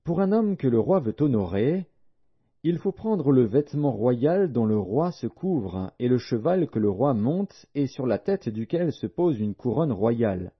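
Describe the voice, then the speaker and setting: Male narrator as proud royal official, audiobook, declamatory, boastful, husky, muffled recording. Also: medium pitch, very animated, unhurried. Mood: grand, eager. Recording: dull, lo-fi audio.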